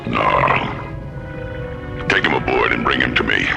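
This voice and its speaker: Slow, gravelly alien insect commander. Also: male voice, a bass voice, heavily acted. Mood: menacing command. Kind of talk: radio drama acting. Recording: music behind, vintage radio drama production.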